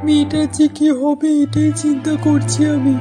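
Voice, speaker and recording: High-voiced worried village father played by a woman. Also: level tone, normal speed, lightly acted. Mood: worried, resigned. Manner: anxious, resigned. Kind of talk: cartoon story dialogue. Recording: loud music under the voice.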